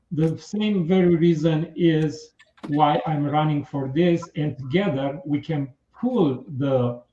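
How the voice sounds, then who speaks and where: A man with a Romanian accent, a political candidate speaking earnestly in a radio interview, with halting, measured phrasing. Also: on the high side, warm tone, echoey room, muffled recording.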